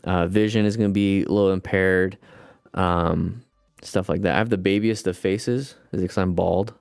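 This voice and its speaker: A man's voice: sits low, moderate pace, gravelly, clean tone, dry recording, studio quality. A man in his late thirties hosting a casual livestream, chatting loosely with viewers and answering their comments. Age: 30s. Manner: rambling, relaxed, talks to chat as "guys". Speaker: livestream host.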